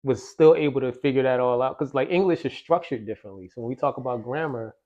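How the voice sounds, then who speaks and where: A man's voice: mid-range voice, quick pace, a little mellow, dull audio. A man co-hosting a sports podcast who asks questions casually and jokes and laughs often. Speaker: podcast co-host.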